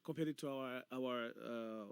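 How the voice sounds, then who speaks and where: A man's voice: mid-range voice, a little slow, clear, resonant tone, dry recording. A man from Rwanda, a singer who is a guest giving short, friendly interview answers.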